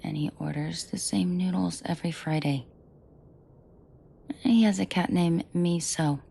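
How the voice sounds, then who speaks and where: A woman performs a female mafia boss for an audio roleplay, her voice low and heavy with fry. She speaks slowly and deliberately, sardonic and dangerous yet protective, with a flirtatious edge aimed intimately at the listener. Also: dark tone, full character voice. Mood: dry, simmering. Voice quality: breathy, husky low voice with vocal fry. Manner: slow, deliberate, dryly sarcastic, often dropping to a murmur.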